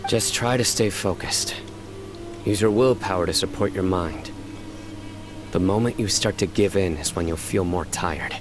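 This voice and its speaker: An actor voicing a stern young male desert warrior-judge in a game, grave, measured and clipped. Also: sits low, music in the background, close to an everyday voice. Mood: steady. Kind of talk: game voice acting. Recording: game audio with noise.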